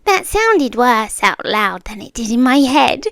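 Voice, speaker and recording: A woman acts out an obsessive young British girlfriend in an ASMR roleplay, her voice high and softly breathy. She lingers over her words and swings up and down in pitch, doting and coy with a calm menace underneath, very close to the mic. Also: a little slow, no echo, heavily acted. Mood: defensive, sweet. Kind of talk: ASMR roleplay, intimate soft-spoken acting. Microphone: close microphone, dry.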